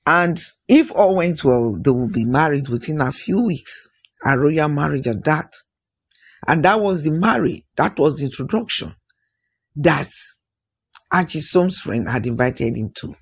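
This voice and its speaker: A man narrating an African folktale as an oral storyteller, with dramatic phrasing, pauses and musing interjections, in a husky voice. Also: fairly high pitch, animated.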